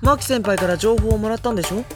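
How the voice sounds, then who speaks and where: An actor playing a mild, bookish high school boy with dry retorts, narrating and talking in an audio drama. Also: rapid-fire, music in the background, lightly acted. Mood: dry, teasing.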